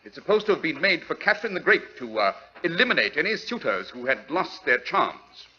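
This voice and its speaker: An actor playing a cool, observant gentleman detective in a 1940s radio drama, deliberate and dry in manner. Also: on the high side, sing-song, exaggerated voice. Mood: coolly curious. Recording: dull, noisy old radio recording.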